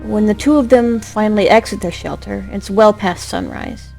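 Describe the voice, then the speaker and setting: Female narrator for audio drama, theatrical and emotive storytelling, mid-pitched voice, music underneath. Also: normal speed, vocal fry, a little mellow, poor audio.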